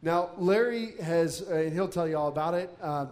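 A man with a fairly high voice introduces the next speaker in a loose, easygoing way. His words trail off into a long church echo. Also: normal speed, darkish tone. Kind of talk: casual stage introduction. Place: church sanctuary. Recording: church hall reverb.

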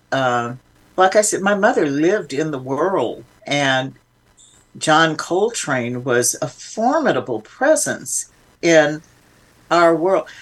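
Older woman, thoughtful and reflective. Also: low voice. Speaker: interview guest, filmmaker. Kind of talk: reflective conversational interview.

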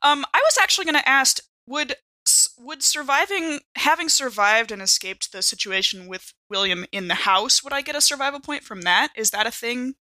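A woman's voice: high-pitched, animated, moderate pace, hardly any pauses, sharp tone, no room sound. A woman chatting casually and improvising as a player in a tabletop roleplaying game.

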